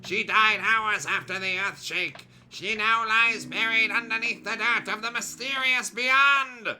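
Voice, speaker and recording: A man's voice: sits high, measured pace, bright, forceful tone, pitched above the performer's own voice, heavily acted. A man voicing a cold, menacing masked spirit who speaks in a stately, unemotional way in a fan fiction reading. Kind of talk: fan fiction read aloud. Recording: music behind the voice.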